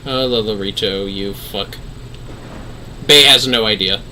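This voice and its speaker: A man's voice: lowish voice, unhurried, sharp tone, slight background noise, rough recording. A man giving casual, sweary and jokey livestream commentary on a game, often exclaiming. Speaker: livestream host.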